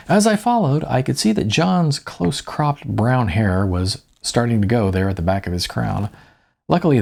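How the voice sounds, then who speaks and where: A man's voice: on the low side, vocal fry, a little mellow, breathy edge. A middle-aged man narrating an audiobook in the first person, with a wry storytelling manner. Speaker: author reading own audiobook.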